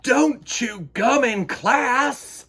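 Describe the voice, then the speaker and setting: Strict storybook teacher, done by a man: high, loud, slow and scolding. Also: slight background noise, heavily acted. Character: stern scolding schoolteacher, strict and exasperated. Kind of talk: storybook read-aloud.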